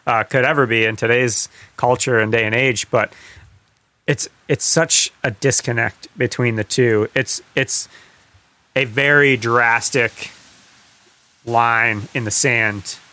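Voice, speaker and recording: Blunt man with a bright, dry sound. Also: mid-range voice, fairly even intonation, takes it slowly, dry recording. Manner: blunt, profane. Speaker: podcast co-host. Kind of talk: casual chat.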